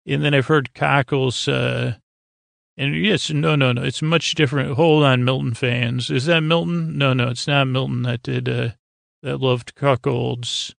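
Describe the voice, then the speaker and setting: A man with a gravelly, creaky voice rambling as host of a sleep podcast, halting and stumbling over words as the thought wanders. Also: medium pitch, fairly even intonation, dry recording, clean studio sound.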